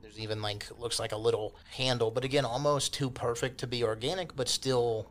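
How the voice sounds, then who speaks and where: A man's voice: mid-range voice, fairly even intonation, brisk. A man acting as game master, improvising descriptions in a casual, rambling, colloquial way.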